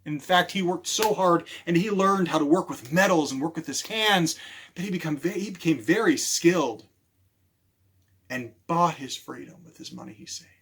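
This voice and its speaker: Higher-voiced man telling stories at storytime. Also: moderate pace, slightly rough. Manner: animated, repeats key phrases, addresses listeners directly. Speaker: children's librarian and storyteller. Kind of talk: storytime talk and storytelling for children.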